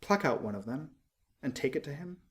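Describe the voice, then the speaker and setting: Man as a sorrowful statue prince, medium pitch. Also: animated, fairly quick, natural voice.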